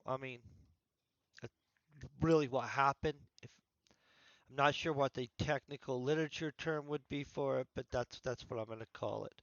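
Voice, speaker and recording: Male author, congested voice, casual rambling stream talk, mid pitch, dull dry recording. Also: moderate pace, pauses often, no echo. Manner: rambling, thinking aloud with restarts. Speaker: author streaming his writing session. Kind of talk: casual livestream chat.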